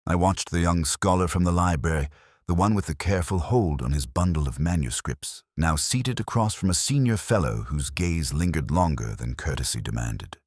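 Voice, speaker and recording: A man narrating a detective story in the first person, in a measured, formal and reflective way. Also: a bass voice, darkish tone, slightly rough, dry recording, clean studio sound.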